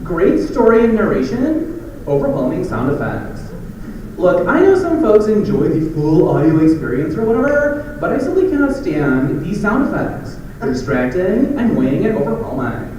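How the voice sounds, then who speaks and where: A man's voice: sits high, darkish tone. A man playing a fussy audiobook listener, griping emphatically as a review is performed in a live drama. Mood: annoyed. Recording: dull, echoey hall, distant mic, faint noise.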